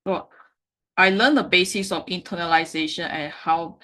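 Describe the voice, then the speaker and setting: A woman, a Mandarin speaker, giving a slide presentation with frequent halting self-corrections. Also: on the low side, pushed and bright. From Malaysia. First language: Mandarin Chinese. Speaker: meetup speaker.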